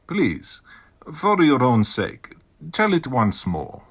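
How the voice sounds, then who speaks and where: A probing doctor of the mind in an audio drama. The delivery is slow and measured, with a husky grain and expressive rises and falls as he coaxes and doubts. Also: medium pitch, exaggerated voice. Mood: patient, coaxing. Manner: measured, coaxing, sceptical. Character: calm, probing male psychiatrist questioning a patient.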